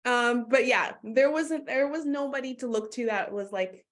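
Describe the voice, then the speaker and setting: A woman from Canada, talking casually as a guest in an interview, full of "like" fillers and quick laughs. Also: on the high side. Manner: chatty, many "like" fillers, laughs often. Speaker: guest, doula.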